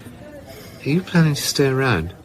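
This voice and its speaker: A man's voice: medium pitch, very animated, unhurried, a little hiss or hum. A man playing a self-assured, drily flippant British crime novelist in a naturalistic radio drama. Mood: flirtatious. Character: smooth, self-assured middle-aged male crime novelist.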